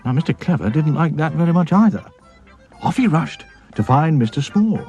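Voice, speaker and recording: A man tells a children's story with playful expression. His fairly high voice rises and falls dramatically, with soft music underneath. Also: sing-song, normal speed. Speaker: narrator. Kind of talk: animated children's story narration.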